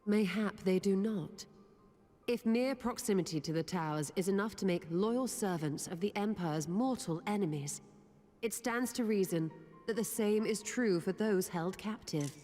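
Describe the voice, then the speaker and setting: An actress playing a thoughtful woman strategist in a video game, reasoning aloud in formal, deliberate speech. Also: medium pitch, natural voice. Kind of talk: scripted video game drama. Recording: reverberant hall, soft music underneath.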